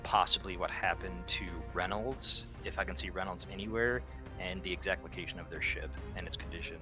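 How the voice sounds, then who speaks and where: A man with a lowish but bright, clear-cut voice plays a tabletop roleplaying game. He talks through his moves casually, with faint music in the background. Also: steady tone, brisk.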